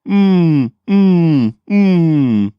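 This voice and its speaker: A man's voice: fairly high pitch, animated, very slow, smooth, dry recording, highs cut off, pitched above the performer's own voice. A man voicing an unseen wild creature with repeated eerie wordless hoots and cries in a told folk tale. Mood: eerie calling.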